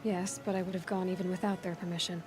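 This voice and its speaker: An actress voicing a direct, self-assured young huntress in a video game. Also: female voice, fairly low pitch, little pitch movement, fast, over music, natural voice. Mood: direct, wry. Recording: clear background ambience noise.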